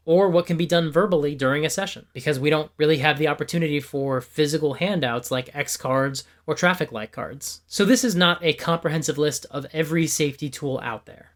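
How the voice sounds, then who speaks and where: A man hosting a tabletop game explainer, his voice slightly hoarse and a little gravelly. He talks in a fairly high register for a man, earnest and opinionated, and keeps leaning on examples to make each point. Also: fairly bright tone. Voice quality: a bit gravelly. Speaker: tabletop game video host. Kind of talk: scripted explanatory video.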